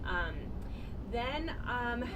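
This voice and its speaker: Puerto rican woman late 20s, chatty and open, um's and likes everywhere, loud noise behind her. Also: on the high side, animated, very slow. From Puerto Rico. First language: Spanish. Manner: chatty and candid, laughs often, many fillers. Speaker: guest, vegan bikini athlete. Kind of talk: casual interview storytelling.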